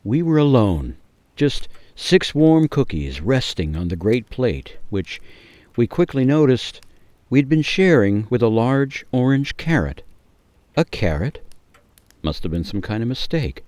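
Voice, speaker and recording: A man giving a measured, theatrical, suspenseful narration of a scripted radio story. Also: mid-range voice, sing-song, a little mellow, no room sound.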